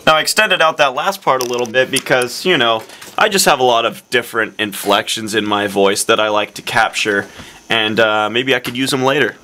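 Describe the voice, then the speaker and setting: A young man earnestly presenting a parody software demo, rambling with lots of fillers. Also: medium pitch, brisk. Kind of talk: deadpan parody product demo.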